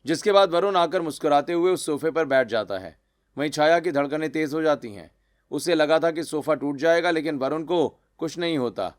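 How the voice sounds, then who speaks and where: Higher-voiced male story narrator. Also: normal speed, no echo. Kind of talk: scripted Hindi story narration.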